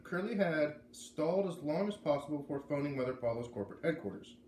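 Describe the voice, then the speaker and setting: A man narrates a storybook aloud with lively, expressive pitch movement. The room is clearly echoey, with a little noise behind him. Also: fast. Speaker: storybook reader.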